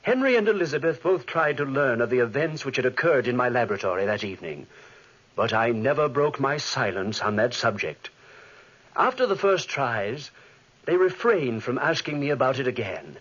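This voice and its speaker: An actor playing a haunted, guilt-ridden scientist in melodramatic 1940s radio drama style. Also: male voice, medium pitch, sing-song, normal speed, fairly bright tone. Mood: brooding narration. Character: haunted, guilt-ridden scientist telling his own tale, tense and evasive. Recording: muffled 1940s radio recording.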